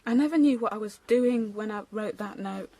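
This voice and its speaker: A woman's voice: medium pitch, normal speed, warm tone, no room sound, lightly acted. An actress playing an earnest, wronged young woman in a radio drama, speaking plainly and directly. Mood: earnest confession.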